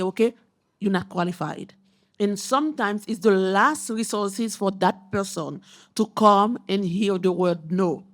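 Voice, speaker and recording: Woman on a panel, earnest, repeats herself, mid voice. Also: medium pitch. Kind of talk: panel discussion remarks. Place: symposium panel room.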